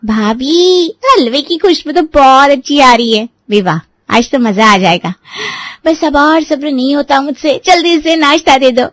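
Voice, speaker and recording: A woman doing a high, pleading young girl. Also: unhurried, dry recording. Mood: eager. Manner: wheedling, pleading. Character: young sister-in-law, chatty and nervous.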